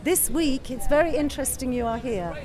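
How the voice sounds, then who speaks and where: A woman's voice: high voice, lively intonation, normal speed, music in the background. A woman activist speaking earnestly in a street interview. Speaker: anti-racism activist. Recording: crowd noise outdoors.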